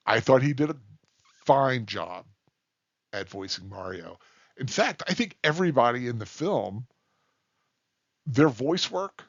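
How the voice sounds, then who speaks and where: A man giving an unscripted, rambling film review in a halting, deliberate way with emphatic stresses. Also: lowish voice, a bit bright, no echo, muffled recording.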